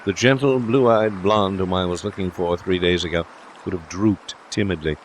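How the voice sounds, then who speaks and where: A man voicing a brash, talkative young gentleman with a complacent, pompously philosophical manner, in a storybook reading. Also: low voice, moderate pace, a little hiss or hum, close to an everyday voice, rough recording. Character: brash, self-assured young gentleman philosopher, cheerfully smitten.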